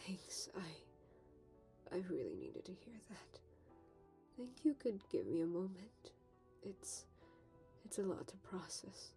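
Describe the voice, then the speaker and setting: A woman voicing an injured teenage turtle hero in a comic dub, a guilt-ridden, teasing big brother with a soft, hesitant, playful manner. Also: mid-range voice, wildly swooping pitch, takes it slowly, gravelly, long pauses, whispered, music in the background, heavily acted. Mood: quiet, moved. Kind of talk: animated comic dub acting.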